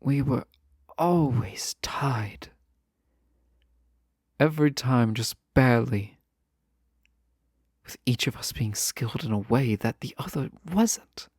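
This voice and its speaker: A man playing a lovestruck, wistful fantasy nobleman in a romantic audio roleplay, speaking formally and tenderly, with halting phrases. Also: mid-range voice, lively intonation, darkish tone, husky, dry recording, studio quality, full character voice. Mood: nostalgic, teasing. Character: lovestruck fantasy nobleman, wistful, teasing, formerly held captive.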